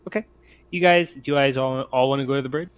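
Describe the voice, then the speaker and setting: A man's voice: mid-range voice, fast talker, smooth, dull audio. A man narrating a tabletop role-playing game as game master, explaining things informally.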